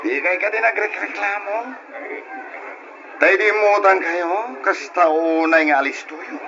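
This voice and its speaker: Casting: brash, greedy lender, slow gloating delivery, Ilocano accent, muffled noisy radio serial sound. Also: male voice, high voice. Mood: gloating, menacing. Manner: gloating, swaggering, often chuckling. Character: greedy, brash moneylender husband who gloats while collecting debts. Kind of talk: old radio drama acting in Ilocano. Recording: muffled, noisy old radio drama recording.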